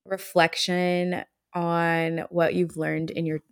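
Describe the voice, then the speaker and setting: A woman in her twenties co-hosting a podcast, chatting in a relaxed, reflective way and laughing often. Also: lowish voice, slow pace, clear, resonant tone, dry recording, clean studio sound. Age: 20s. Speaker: podcast co-host. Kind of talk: casual chat.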